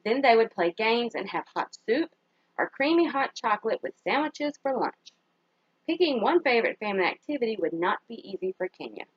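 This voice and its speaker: A woman with a fairly high, very lively voice reads a children's story aloud like a teacher. She stops to ask her young listeners simple questions. The recording is dry and a bit dull. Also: slightly rough, no room sound. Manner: pauses to put simple questions to young listeners and praise their answers. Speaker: teacher reading a picture book aloud to children.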